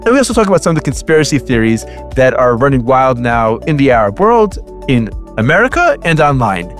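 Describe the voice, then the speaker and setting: A man hosting a podcast, reading a measured, announcer-like introduction and then interviewing. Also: mid-range voice, big pitch swings, faint music behind. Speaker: podcast host.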